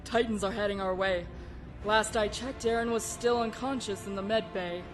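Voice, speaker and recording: A woman's voice: mid-range voice, steady tone, normal speed, soft background music, lightly acted. A woman playing a weary but commanding admiral in a sci-fi parody, blunt and authoritative. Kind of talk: animated sci-fi parody voice acting.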